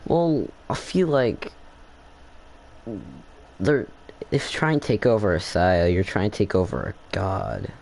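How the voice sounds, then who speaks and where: A man's voice: low voice, animated, a little slow, gravelly, long pauses, a little mellow, a little hiss or hum. A man giving casual let's play commentary, musing aloud in a hesitant way. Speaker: gaming video creator.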